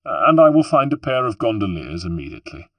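A man's voice: fairly low pitch, big pitch swings, brisk, fairly bright tone, no echo. A man voicing a weak, middle-aged henpecked nobleman in a storybook, meek and wheedling with a sly streak. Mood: cunning.

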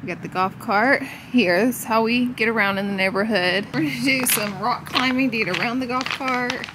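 A woman's voice: on the high side, normal speed, noisy background. A woman in her thirties, sick with a cold, chatting playfully and gushing in a casual house tour vlog on a handheld camera. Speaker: vlogger. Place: inside and on the porch of a house. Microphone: handheld camera at arm's length.

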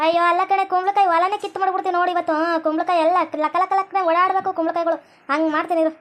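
A woman's voice: very high voice, little pitch movement, rapid-fire. A woman playing chatty, bickering village farm labourers in a cartoon skit, garrulous and colloquial, with a North Karnataka accent. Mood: chatty, boastful.

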